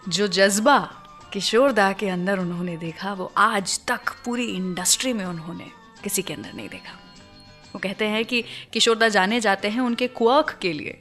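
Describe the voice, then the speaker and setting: A woman's voice: mid-range voice, fairly bright tone. A woman presenting a radio show in a conversational storytelling style, putting teasing questions straight to her listeners. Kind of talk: conversational radio show presenting, telling film music trivia.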